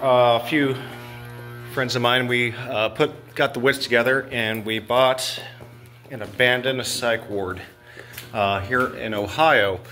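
A man's voice: mid-range voice, steady tone, slow pace, sharp tone, soft background music. A man in his thirties hosting a casual vlog, rambling and joking at his own expense as he films himself with a handheld camera, indoors and out. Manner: rambling, self-deprecating, filler-heavy. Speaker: channel host. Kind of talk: casual vlog walk-and-talk. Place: abandoned building halls and outdoor grounds. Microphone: handheld camera held close, low angle.

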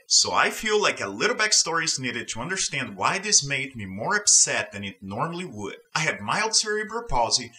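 A man's voice: fairly high pitch, bright, forceful tone. A man from Brazil hosting and reading online stories aloud, with emphatic, opinionated commentary. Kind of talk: scripted story reading with personal commentary.

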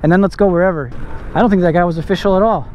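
A man vlogging as he rides an electric motorbike through city streets, excited and swearing freely, recorded on a bike-mounted camera outdoors. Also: sits high, racing, dull audio. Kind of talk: casual chat while riding. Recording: loud wind and traffic noise outdoors. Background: wind and street traffic.

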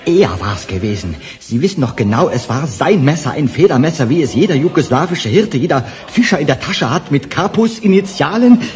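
A man's voice: fairly high pitch, very animated, brisk, hardly any pauses, a little mellow, soft background music. An actor playing an agitated, mocking male murder suspect in a German radio crime drama. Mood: agitated, defensive. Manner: sarcastic, laughing derisively.

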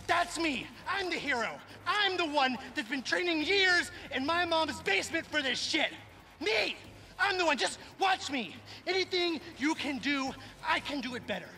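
An actor playing an insecure, jealous young nerd who brags in a frantic stammer, in a video game. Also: male voice, very high-pitched, lively intonation, normal speed, pushed and bright, exaggerated voice. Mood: indignant, frantic. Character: nerdy, jealous young male wannabe hero, insecure braggart. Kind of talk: video game cutscene acting.

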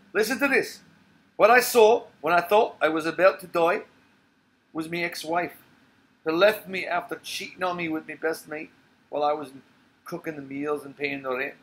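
A man's voice: fairly high pitch, fairly bright tone, voice pushed up, close to an everyday voice. A man playing a bitter, agitated Irish barfly, telling a story in an emphatic, foul-mouthed way. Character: agitated, bitter Irish barfly recounting a near-death scrape.

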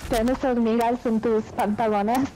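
Slow-talking high-voiced woman from Mexico. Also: fairly even intonation, mellow, slight background noise, poor audio. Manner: halting, mixes Spanish and English. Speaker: gamer in voice chat. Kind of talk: casual voice-chat conversation.

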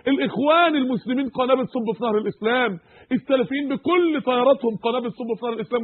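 An Egyptian man delivering an emotional, exhorting Islamic sermon in Egyptian Arabic, with repeated rhetorical questions and a husky, strained voice. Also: extremely high pitch. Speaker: Islamic preacher. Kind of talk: impassioned sermon with Quran quotations. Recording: tinny, phone-like narrow band.

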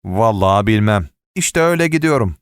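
A man's voice: fairly low pitch, lively intonation, brisk, clear, resonant tone, dry recording, studio quality, close to an everyday voice. A man voicing a meek, passive man who is easily led, with a hesitant, resigned manner, in an audiobook story. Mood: unsure. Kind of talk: audiobook character dialogue.

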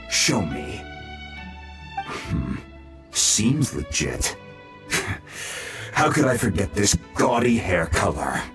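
An actor playing a menacing, gloating samurai bandit boss in a video game, taunting and blustering. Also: male voice, lowish voice, heavily acted. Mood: smug, gloating. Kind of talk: video game character acting.

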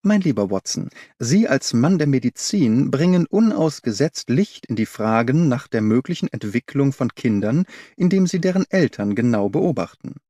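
A man's voice: mid-range voice, very animated, normal speed, few pauses, warm tone, dry recording, clean studio sound. A man voicing a brilliant, confident detective in an audiobook, explaining in an assured, didactic way. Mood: assured, lecturing. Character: brilliant male detective, confident, analytical, commanding.

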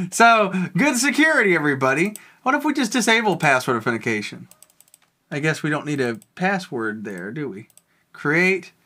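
A tech video host giving a casual, rambling walkthrough of a software tool, thinking aloud while clicking. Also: mid-range voice.

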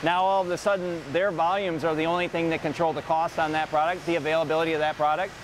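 American man explaining over loud factory noise. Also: on the high side, level tone, fast talker. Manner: conversational, often checking with "right?". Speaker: company representative giving a factory tour. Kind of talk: casual, unscripted explanation. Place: large indoor factory floor. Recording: noisy factory floor with machinery hum.

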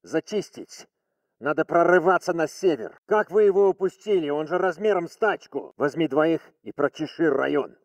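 An actor playing a stern Russian soldier giving squad orders in a video game, with a Russian accent. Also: male voice, on the high side, lively intonation, normal speed, no echo. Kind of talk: video game military voice lines.

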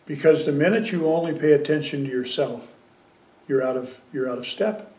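An older man giving a dharma talk as a Zen teacher, conversational and gently humorous. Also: a little high, normal speed. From United States. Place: small meditation room indoors. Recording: roomy, distant sound.

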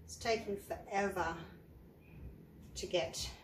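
An Australian woman, an art teacher, chatting step by step through a painting tutorial with a croaky voice from the flu. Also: medium pitch, slow pace. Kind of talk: tutorial narration. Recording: echoey room, faint noise.

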